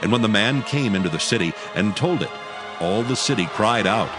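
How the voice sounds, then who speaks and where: A man narrating scripture from a script in a solemn, measured and formal way. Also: on the low side, lively intonation. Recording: music bed behind the voice.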